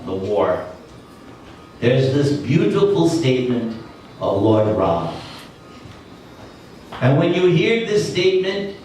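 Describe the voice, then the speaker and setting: A man's voice: mid-range voice, a little mellow, a little hiss or hum. A man giving a devotional temple lecture, leading the audience phrase by phrase in call-and-response with a few light jokes. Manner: slow, deliberate phrase-by-phrase leading of repetition; light joking asides to the audience. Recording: echoey hall, distant mic, music behind.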